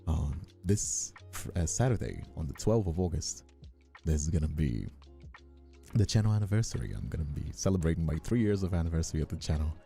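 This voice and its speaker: A man in his twenties who sounds older than his years, chatting casually on a livestream in a halting, rephrasing way. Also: rumbling low, creaky, mellow, soft background music. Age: 20s. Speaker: ASMR streamer and voice actor.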